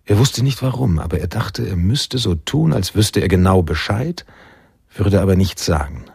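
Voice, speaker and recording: An actor playing a brooding film-noir narrator in a radio drama, reading scripted narration in a measured, grave manner. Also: male voice, low voice, lively intonation, vocal fry, a little mellow, husky, dry recording, clean studio sound, exaggerated voice. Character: brooding male film-noir narrator recalling his friend's escape.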